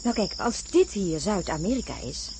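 Casting: determined, practical spacewoman, very varied pitch, radio play with clear cricket noise. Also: very animated. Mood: insistent. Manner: brisk, reasoning, insistent. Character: resolute, practical female astronaut leading stranded companions. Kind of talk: Dutch science-fiction radio drama acting.

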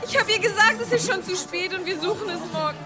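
An actress playing a guilt-ridden, defiant young village daughter in a German audio drama, plaintive and emotional. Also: female voice, very high-pitched, quick pace, over music, crowd noise. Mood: guilty, distraught.

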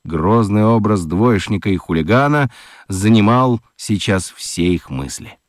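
A man narrating a children's radio play as an expressive, dramatic storyteller. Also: lowish voice, unhurried, dry recording, studio quality. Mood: suspenseful narrating.

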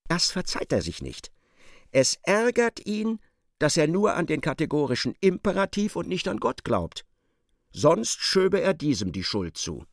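A man acting as the sardonic narrator of a literary radio play, articulate and expressive. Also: a little high, measured pace, no echo, studio quality. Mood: animated. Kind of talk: dramatised scripted narration.

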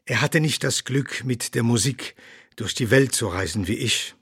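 An actor playing an elderly, learned doctor and musician in a radio interview, speaking formally and deliberately with a husky voice. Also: male voice, mid-range voice, fairly bright tone, no room sound, studio quality. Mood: grave, reflective.

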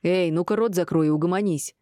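A woman's voice: deep voice, fairly even intonation, a little fast, dark tone, no echo, studio quality, lightly acted. A woman reading the part of a hot-tempered, jealous tough man, threatening and coarse, in an audiobook. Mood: angry growl.

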